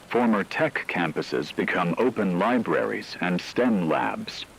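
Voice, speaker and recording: A man acting as a grave radio news anchor with a deep voice. He reads scripted doomsday bulletins formally and evenly, with clear rain-like noise behind him. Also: low-quality recording. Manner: formal, measured reading.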